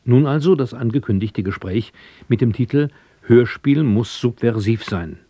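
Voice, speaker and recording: Dry-sounding, mellow male radio announcer. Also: medium pitch, moderate pace, dry recording. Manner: formal, clearly structured reading. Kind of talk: scripted broadcast introduction. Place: dry studio.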